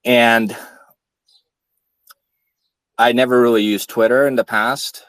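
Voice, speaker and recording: Male, 30s, from Ukraine, slow and deliberate monologue, dry close recording. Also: medium pitch, little pitch movement, fairly bright tone, clean tone, dry recording, poor audio. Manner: measured, halting, frequent fillers. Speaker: podcast speaker. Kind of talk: monologue, personal account.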